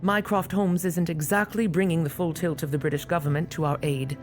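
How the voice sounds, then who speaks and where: Loyal motherly guardian played by a woman, concerned yet composed, slightly low pitch, for audio drama. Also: fairly even intonation, fairly quick, faint music behind, lightly acted. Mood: concerned, pressing.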